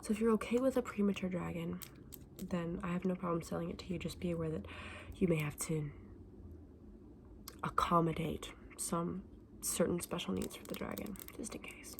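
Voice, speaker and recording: A woman doing a soft-spoken, often whispered ASMR roleplay as a gentle, chatty shopkeeper, explaining her wares informally and without hurry. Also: on the low side, fairly even intonation, moderate pace, vocal fry, slight background noise. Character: gentle, chatty woman selling dragon eggs.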